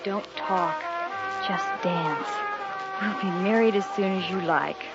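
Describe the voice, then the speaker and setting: An actress playing a tender young fiancée, languid and romantic, in a vintage radio drama. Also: female voice, mid-range voice, slow pace. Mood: tender, dreamy. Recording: dull vintage radio recording, loud music behind.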